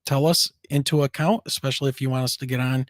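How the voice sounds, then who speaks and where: A man's voice: medium pitch, fairly even intonation, fast, no echo, studio quality. A man co-hosting a casual live-streamed talk show, chatty and upbeat, his voice scratchy and worn. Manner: upbeat, chatty, laughs easily. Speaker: co-host of a paranormal talk show.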